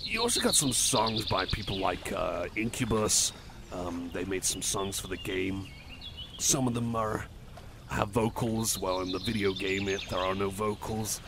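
Man playing a shy, stammering, creaky-voiced cyborg buddy. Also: low voice, measured pace. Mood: enthusiastic rambling. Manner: hesitant, stammering, self-conscious. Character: shy, rambling, apologetic male cyborg buddy, eager game nerd. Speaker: ASMR roleplay performer. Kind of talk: ASMR roleplay, casual one-sided chat. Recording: noisy outdoor ambience with birds. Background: birdsong, outdoor nature ambience.

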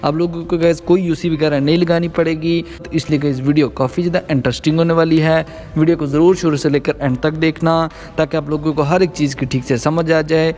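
Guy doing a gaming tutorial voiceover, kinda high voice, explains stuff to the viewers. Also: a little mellow. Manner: explanatory, repeatedly addressing viewers as guys. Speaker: gaming channel host.

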